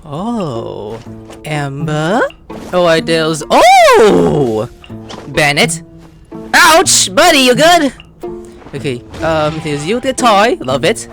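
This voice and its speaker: Animated gaming streamer with wildly swinging pitch. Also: very slow, music in the background. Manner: exclamatory, swings from muttered asides to high squeals; slangy, playful, talks to the game characters. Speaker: gaming YouTuber and host. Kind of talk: excited gameplay commentary, casual chat.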